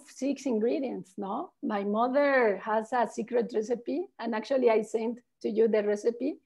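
A woman's voice: on the high side, mellow. A woman from Mexico with a Mexican accent, a local tour guide answering questions on a virtual tour over a video call, explaining things in a friendly way and often tagging her sentences with "no?". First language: Spanish. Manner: friendly, explanatory, tags phrases with "no?".

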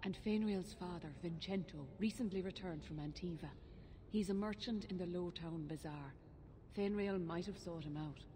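A woman's voice: on the low side, unhurried, dark tone, lightly acted. An actress playing an anxious, earnest elven mother who fears for her child, in grave fantasy game dialogue.

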